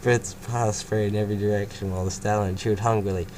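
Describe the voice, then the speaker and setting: Male story reader, casual, low-pitched, clear background hiss. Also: little pitch movement, fast. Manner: casual, breaks off to laugh and comment. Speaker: fanfiction reader. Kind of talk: first-person story reading.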